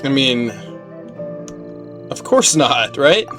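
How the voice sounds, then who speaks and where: A streamer comments on a game in a medium-pitched voice. The talk is loose, slangy and conversational, with game music faintly behind it. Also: sing-song, drawn-out words. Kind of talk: casual gaming commentary.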